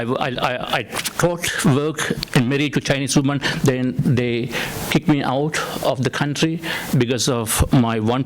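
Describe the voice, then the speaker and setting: A man from Sri Lanka whose first language is Tamil, giving an unscripted conference talk with halting, repeated words and wry asides. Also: mid-range voice, slight background noise, poor audio. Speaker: conference speaker, academic. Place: conference room.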